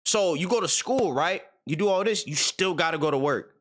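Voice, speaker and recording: A man's voice: on the high side, animated, moderate pace, fairly bright tone. A man in his twenties hosting a reaction channel, giving slangy, rambling commentary with many repeated words. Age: 20s.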